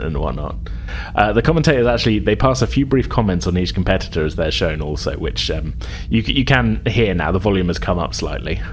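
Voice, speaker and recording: A man as game master, narrating a tabletop roleplaying game in a halting, conversational way. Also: sits low, a little hiss or hum.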